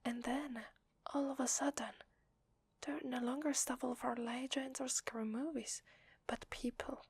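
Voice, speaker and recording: A woman with an Italian accent playing a gentle, apologetic vampire in an ASMR roleplay, whispering softly and hesitantly close to the microphone. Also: sits high, fairly even intonation, measured pace, no room sound, full character voice. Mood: hushed, explanatory. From Italy. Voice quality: soft breathy whisper. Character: gentle, apologetic female vampire confiding in a human. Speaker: ASMR voice actor. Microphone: close microphone, dry.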